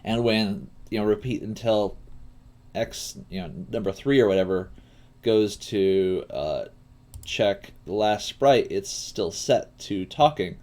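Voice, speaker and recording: A man teaching coding in a live tutorial, thinking aloud in a halting way with pauses mid-thought. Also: lowish voice, darkish tone. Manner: halting, pausing mid-thought while working. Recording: clear background noise, keyboard typing.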